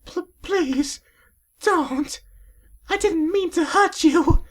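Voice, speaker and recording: A woman's voice: mid-range voice, big pitch swings, slow, slightly rough, pitched above the performer's own voice, full character voice. A woman voicing a refined, fashion-minded young pony mare in a fan story, gushing and theatrical. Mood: panicked.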